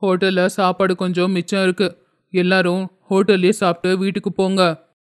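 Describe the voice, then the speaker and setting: A bossy, impatient hotel owner, acted by a man in a cartoon tale. His voice is high for a man, smooth and even, giving stern commands. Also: normal speed, natural voice. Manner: commanding, scolding.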